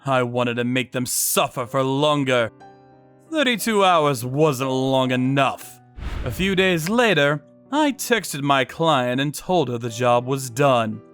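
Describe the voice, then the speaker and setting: A man narrating a manga dub in a cold, unhurried, sadistic manner. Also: mid-range voice, expressive, a bit bright, clean tone. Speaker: narrator and torturer character. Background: faint music.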